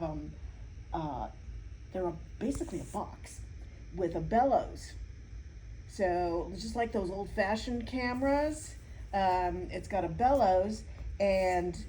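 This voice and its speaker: A woman's voice: mid-range voice, mellow, slight background noise, poor audio. A woman artist being interviewed, chatting casually and explaining things with enthusiasm.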